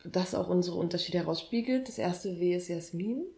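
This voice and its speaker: A woman's voice: on the low side, fairly quick, warm tone. A woman in her thirties from Berlin co-hosting a casual podcast chat, bubbly and playful. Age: 30s. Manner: bubbly, playful, laughs often. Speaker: podcast co-host.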